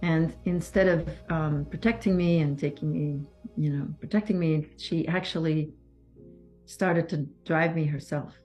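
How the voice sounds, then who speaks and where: Soft-spoken woman, low voice. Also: steady tone, soft background music. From Belgium. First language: French. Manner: measured, halting, with pauses and restarts. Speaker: survivor giving testimony. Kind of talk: personal testimony interview.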